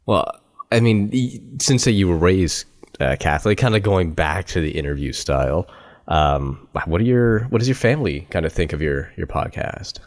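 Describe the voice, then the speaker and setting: A man hosting a podcast, asking relaxed, loosely worded questions in a casual interview. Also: deep voice, moderate pace, no echo, clean studio sound. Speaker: podcast host.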